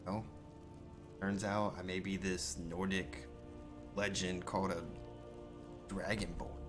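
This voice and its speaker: A man with a deep voice plays through a role-playing game. He comments casually in character in a low-key, musing way, with music clearly behind him. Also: little pitch movement, slow. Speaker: video game role-player.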